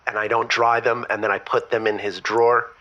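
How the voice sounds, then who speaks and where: A man's voice: mid-range voice, flat delivery, fairly quick, bright, forceful tone, lo-fi sound, close to an everyday voice. An actor playing a petty, dramatic man who calls a radio show in an audio drama, with an emphatic delivery. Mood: smugly petty. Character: petty, dramatic man griping about his partner.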